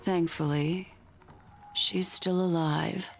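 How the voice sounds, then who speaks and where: A calm, analytical woman from an anime game. Her voice is low with a gravelly creak, and she reasons in a composed, quietly concerned way over faint music. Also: slow.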